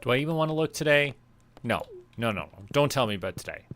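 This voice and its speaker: A man's voice: mid-range voice, animated, quick pace, a bit bright, slight background noise. A man from Canada chatting casually as a game streamer, thinking aloud in a playful way. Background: music.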